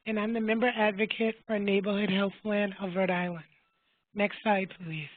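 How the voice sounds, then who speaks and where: A woman presenting a webinar as a health plan's member advocate, her voice going hoarse, speaking in a measured way with fillers and small self-corrections. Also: mid-range voice, flat delivery, no room sound. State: losing her voice. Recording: dry phone-line audio.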